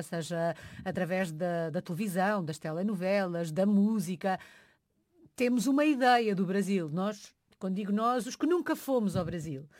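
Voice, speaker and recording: A woman interviewer with a mid-range voice asks measured questions. The sound is close and dry. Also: mid-range voice, no room sound.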